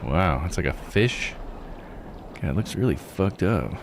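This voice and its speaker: A man's voice: low voice, animated, a little slow, vocal fry, noisy background. A man doing casual let's play commentary, thinking aloud and reading game text out. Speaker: video game streamer. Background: game music.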